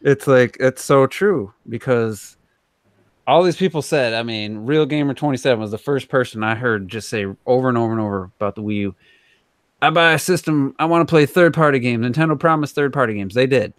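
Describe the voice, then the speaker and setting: A man's voice: medium pitch, a little fast, no echo, studio quality. A man co-hosting a podcast, talking casually and giving his opinions in a rambling, conversational way. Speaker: podcast co-host.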